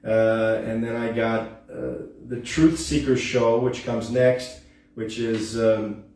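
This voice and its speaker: A man's voice: mid-range voice, steady tone, slow pace, a little mellow. A man in his late fifties hosting his own home music show, talking in a loose, earnest monologue full of ums and restarts. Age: 50s. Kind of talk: rambling casual monologue. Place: room at home, at a piano. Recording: echoey room, mic at a distance.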